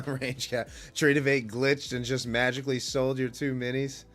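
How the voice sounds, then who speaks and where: A man with a mildly congested, stuffed-up nose and a somewhat low-pitched voice talks as a livestream host. He is laid-back and informal, rambling through market commentary and casual chat, with faint music behind him. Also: level tone, normal speed, a bit bright. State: stuffy nose and cough from a cold. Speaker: livestream host, futures day trader.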